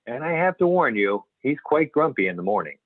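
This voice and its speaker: A man's voice: mid-range voice, big pitch swings, brisk, dry recording. Phone-band sound, dry, a man acting chatty male academic, mildly self-important, hesitant script reading. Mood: dryly amused. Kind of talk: amateur readers-theater script reading. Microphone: conference call. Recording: tinny phone-like line.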